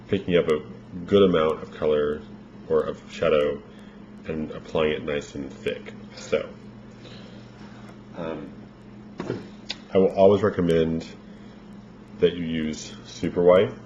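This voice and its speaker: Man, very low voice, makeup tutorial, rambling casual instructions, slightly worn voice, dull lo-fi sound. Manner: relaxed, rambling instructions with fillers. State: losing his voice. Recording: dull lo-fi home recording with faint noise.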